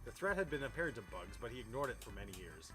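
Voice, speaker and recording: A man's voice: mid-range voice, sing-song, rapid-fire. A man reading a story aloud in storybook style, amused and incredulous, given to breaking off with his own comments. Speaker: reader of a fan fiction story. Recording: music playing behind the voice. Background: music.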